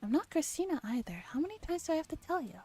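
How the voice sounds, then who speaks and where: A woman streamer gently reading a visual novel aloud in a soft ASMR style, relaxed and chatting casually, at times dropping to a whisper. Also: high voice, fast talker. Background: background music.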